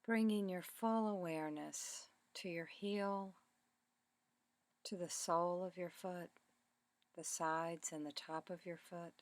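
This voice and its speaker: A woman leading a guided meditation in a calm, deliberate way, with measured phrases and pauses. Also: fairly low pitch, dry recording. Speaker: meditation teacher. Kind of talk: guided meditation, body scan narration.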